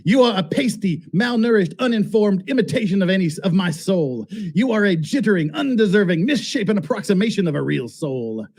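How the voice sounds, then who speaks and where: Male reader, high and rough-edged voice, theatrical read-aloud with an amused commentator's touch. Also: expressive, a little fast, hardly any pauses, darkish tone. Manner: amused, often breaking into laughter as he reads. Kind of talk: dramatic reading of a written text with amused asides.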